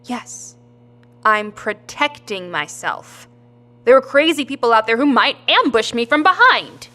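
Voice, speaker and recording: An actress playing a tough, sardonic, war-hardened survivor in an audio drama, with a blunt, dry, sarcastic delivery. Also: female voice, a little high, very animated, measured pace, bright, forceful tone, full character voice. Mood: defiant, wary.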